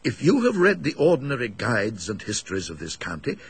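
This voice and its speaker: A man performs a kindly old English country rector from a storybook ghost tale. The voice is very husky and gravelly, with lively swings of pitch. He muses and hesitates like a scholar fond of talking. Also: brisk, heavily acted. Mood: explanatory. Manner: musing, hesitant, donnish, emphatic storytelling. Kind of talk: dramatised ghost story reading.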